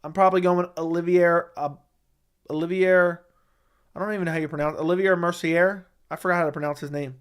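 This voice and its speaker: A man's voice: sits high, steady tone, brisk, fairly bright tone, clean tone. Blunt, opinionated man hosting a livestream. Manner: blunt, opinionated, repeats himself for emphasis. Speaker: MMA commentary livestream host. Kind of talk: casual livestream chat reading viewer questions.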